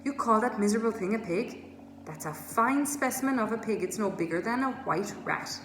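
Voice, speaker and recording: A woman's voice: medium pitch, expressive, fairly quick, fairly bright tone, echoey room, big-room sound, slight background noise, lightly acted. A woman voicing a brash ten-year-old boy from a storybook, blunt, demanding and scornful.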